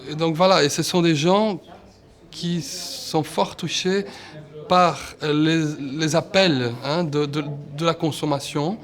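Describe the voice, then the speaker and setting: A man's voice: on the high side, fairly even intonation, takes it slowly. Hesitant man. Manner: hesitant, many fillers. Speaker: expert interviewee. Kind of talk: documentary interview.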